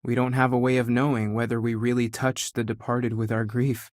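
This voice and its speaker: A man's voice: medium pitch, level tone, fairly quick, no echo, studio quality, lightly acted. A man playing an earnest, curious theological scholar turned space explorer in a video game, thoughtful and articulate. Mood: solemn, consoling. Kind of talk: video game character dialogue.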